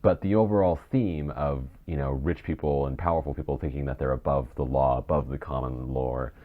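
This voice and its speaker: A man's voice: extremely low pitch, steady tone, fairly quick, phone-quality sound. A man co-hosting a podcast, thinking aloud tentatively in casual discussion. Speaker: podcast co-host.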